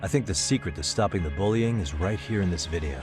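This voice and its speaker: A man acting as a cool private detective in a game drama, with a low, deep voice. He sounds assured and plainspoken, and music plays behind him. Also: quick pace, lightly acted. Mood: firm, assured. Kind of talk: dramatic video game dialogue.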